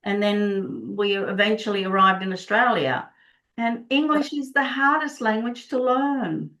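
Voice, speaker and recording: Woman, middle-aged, from Prague, Czech native speaker, mid-pitched, earnest interview guest. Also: unhurried, fairly bright tone, poor audio. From Prague, Czech Republic. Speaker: Body Code practitioner, interview guest. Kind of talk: conversational interview, explaining.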